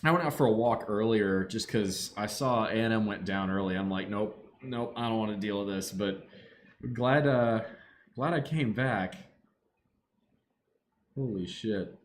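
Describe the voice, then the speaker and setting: A man's voice: fairly low pitch, unhurried, slight background noise. Laid-back man streaming from home. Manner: relaxed chatter, bursts into excited yelling at the game. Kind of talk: casual live-stream chat. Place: home room.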